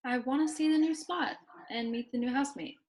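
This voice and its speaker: A woman's voice: sits high, fairly quick, darkish tone, lightly acted. A woman playing a teasing, self-assured young partner in a script read-through. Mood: teasing, dry.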